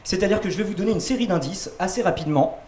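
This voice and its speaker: A man runs a rock quiz in a loose, talkative way, ribbing his guests and stalling with fillers. His voice sits a little high. The room is roomy and echoey, with a faint hiss under it. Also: rapid-fire, a little mellow. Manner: chatty, digressive, teasing, many fillers. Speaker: quiz host. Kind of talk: casual quiz hosting with banter. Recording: roomy echo with faint noise.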